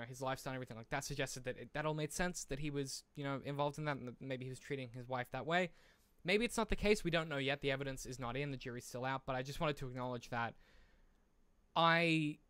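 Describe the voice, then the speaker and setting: A man's voice: medium pitch, pushed and bright, clear, resonant tone, dry recording. A man co-hosting a podcast, chatting casually in a rambling, discursive way with the odd swear word. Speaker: podcast co-host. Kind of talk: casual conversational podcast chat.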